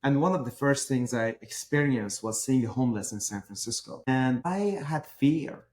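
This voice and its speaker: A man's voice: mid-range voice, animated, moderate pace, a little mellow. A middle-aged man with a Persian accent, speaking emphatically as an interview guest and asking himself rhetorical questions. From Iran. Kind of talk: podcast interview.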